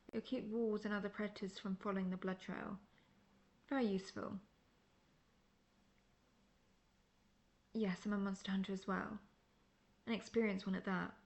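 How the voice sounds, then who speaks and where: Woman acting as a gravelly, seasoned female monster hunter. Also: mid-range voice, lightly acted. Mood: curious, appraising. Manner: measured, formal, dryly condescending. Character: seasoned female monster hunter, wry, assertive, world-weary. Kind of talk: fantasy roleplay audio acting.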